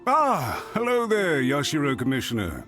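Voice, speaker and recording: An actor playing an eager, deferential clan retainer in a video game, polite and effusive. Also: male voice, a little high, huge pitch swings, normal speed, fairly bright tone, faint music behind.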